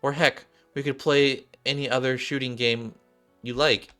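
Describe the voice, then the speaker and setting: A man voicing a shy, earnest young android gamer girl from a game story, halting and heartfelt. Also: medium pitch, flat delivery, a bit bright, soft background music, pitched above the performer's own voice, natural voice. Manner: halting, heartfelt, repeats and restarts.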